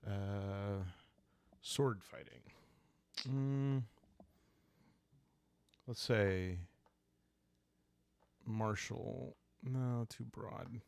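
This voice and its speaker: A man with a low voice talks at an extremely slow pace, as if there is all the time in the world. He calmly makes up a solo roleplaying tale meant for falling asleep to, thinking aloud in short, halting phrases. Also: creaky, clean tone, dry recording. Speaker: host and solo player of a journaling roleplaying game. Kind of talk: calm, soothing improvised solo roleplay narration for sleep listening.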